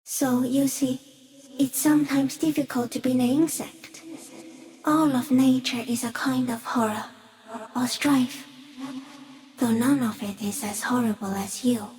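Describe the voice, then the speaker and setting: Game creature: a detached, curious stick insect. High, mellow, soft and halting. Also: female voice, steady tone. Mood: calm, grave. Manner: slow, halting, deliberate musing in short fragments. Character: ancient, serene, otherworldly giant stick insect, detached and curious. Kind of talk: video game character voice acting.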